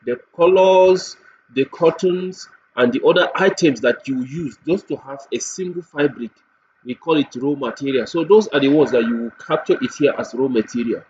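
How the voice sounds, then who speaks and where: A man with a Nigerian accent giving a software tutorial walkthrough, explaining step by step and repeating points with everyday examples. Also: mid-range voice, normal speed. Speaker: software demonstrator. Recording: dull lo-fi mic with faint noise.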